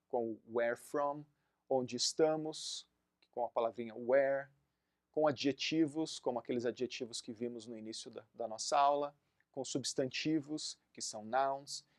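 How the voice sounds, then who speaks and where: A man in his thirties, a native Portuguese speaker, teaching an English lesson and drilling phrases slowly for learners to repeat. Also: mid-range voice, no echo, clean studio sound. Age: 30s. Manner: slow, deliberate drilling of phrases for repetition. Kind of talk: recorded online language lesson.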